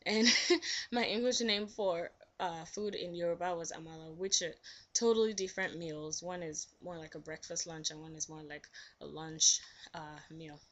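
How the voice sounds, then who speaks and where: A woman's voice: fairly low pitch, steady tone, moderate pace, fairly bright tone, muffled recording. A Nigerian woman in her twenties answering interview questions thoughtfully, with frequent ums. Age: 20s.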